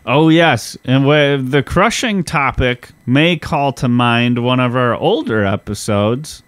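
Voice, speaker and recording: Chatty male podcast co-host, mid-pitched. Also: slow, fairly bright tone, clear, resonant tone, dry recording. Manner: chatty, laughs often, swears casually. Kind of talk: casual chat.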